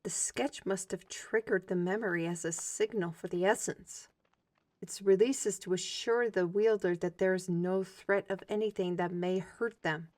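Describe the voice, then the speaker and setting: A woman's voice: medium pitch, lightly acted. Casting: actress for firm, spirited female artist in fantasy audio drama, middle register, explains with emphasis. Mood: explaining.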